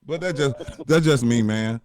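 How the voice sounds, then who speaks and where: Blunt deep-voiced man, profane talk. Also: normal speed, crowd noise. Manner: blunt, profane, preachy advice. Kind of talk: casual chat.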